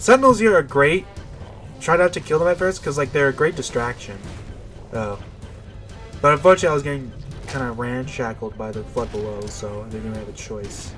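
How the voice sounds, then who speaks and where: A man with a congested, nasal voice at a middling pitch talks through his gameplay casually and without a script. He rambles in a laid-back way, with music playing behind him. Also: a bit bright. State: stuffy nose. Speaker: gamer giving video game commentary. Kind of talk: casual unscripted gameplay commentary.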